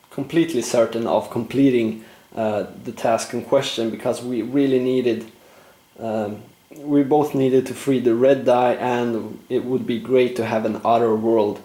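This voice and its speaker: A man narrating a board game play-through, explaining rules and thinking aloud with frequent fillers, his voice going slightly hoarse. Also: medium pitch, mellow, echoey room. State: losing his voice, clearing throat. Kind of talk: casual gameplay commentary.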